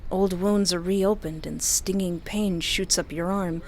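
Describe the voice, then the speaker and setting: A woman reading a visual novel aloud as a streamer, with expressive, storyteller-like narration and a playful manner. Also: on the low side, measured pace, slight background noise. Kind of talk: expressive scripted narration with casual asides.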